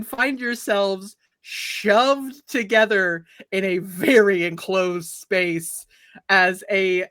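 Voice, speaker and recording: A woman's voice: medium pitch, bright, forceful tone. A woman running a tabletop game over a call, narrating with fillers and frequent laughter. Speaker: game master.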